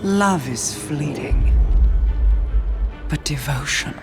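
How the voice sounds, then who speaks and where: A woman voicing a regal, manipulative phantom sister in a video game, measured and coaxing. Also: deep voice, lively intonation, over music, exaggerated voice. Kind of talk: dramatic video game acting.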